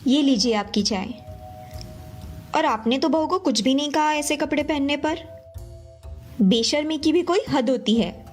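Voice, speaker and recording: Woman doing a nagging, high-voiced traditional mother-in-law. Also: a little slow, pauses often, a little mellow, soft background music. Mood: exasperated. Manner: admonishing, disbelieving. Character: Sheila, middle-aged mother-in-law, traditional, exasperated, nagging. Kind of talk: animated moral story dialogue.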